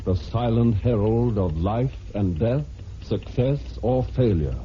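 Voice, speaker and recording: A man's voice: mid-range voice, animated, takes it slowly, slight background noise. A man playing a solemn announcer intoning the opening of a vintage radio mystery in a grave, portentous way. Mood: ominous. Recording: muffled old radio, loud music.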